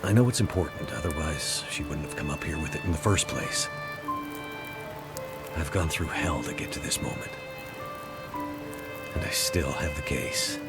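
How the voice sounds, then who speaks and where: A man's voice: rumbling low, normal speed, long pauses, over loud music. An actor playing a weary, stubborn, self-doubting man out searching the wilderness in a video game drama. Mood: frustrated, searching.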